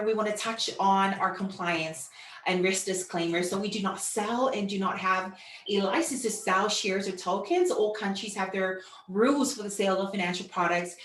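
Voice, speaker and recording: A woman from Samoa giving an informal online business presentation with personal storytelling, in a halting, repetitive way full of "you know". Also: mid-range voice, a bit bright. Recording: echoey room, distant microphone.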